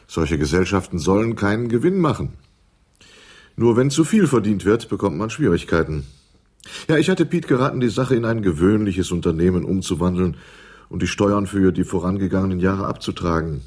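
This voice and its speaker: Smooth, evasive accountant for radio crime play: low husky voice, deliberate hedging delivery. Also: male voice, lively intonation, darkish tone. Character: evasive, smooth male accountant explaining dodgy finances. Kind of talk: 1980s German radio crime drama dialogue.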